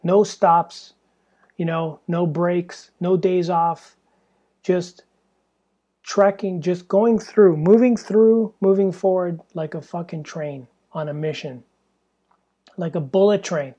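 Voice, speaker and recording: A young man hosting a solo podcast, tired and hoarse from losing his voice, talking in a rambling, candid way with plenty of swearing. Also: sits high, steady tone, slow pace, mellow, dull audio. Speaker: podcast host. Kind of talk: casual solo podcast monologue. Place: small stuffy indoor room.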